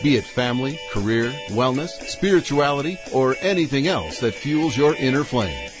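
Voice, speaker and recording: A man reading a scripted promo voice-over as the show's announcer, with a lively, upbeat delivery. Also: medium pitch. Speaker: show announcer.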